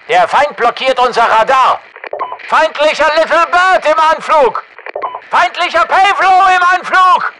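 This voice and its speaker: A man's voice: very high-pitched, sharp tone, a little hiss or hum, heavily acted. A man playing an alert soldier who gives clipped status reports over a military radio in a video game.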